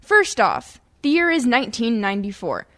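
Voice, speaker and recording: Higher-voiced woman hosting radio comedy. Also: very animated, moderate pace, fairly bright tone. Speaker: host. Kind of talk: scripted comedy radio introduction.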